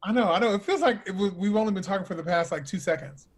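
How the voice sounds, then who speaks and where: Male host doing a casual interview, voice a bit high, gushy and encouraging. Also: racing. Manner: effusive, encouraging.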